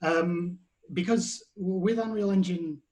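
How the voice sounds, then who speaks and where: Rambling higher-voiced male podcast host. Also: fairly even intonation, unhurried, a little mellow. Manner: rambling, stumbling over phrasing. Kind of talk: podcast interview questions.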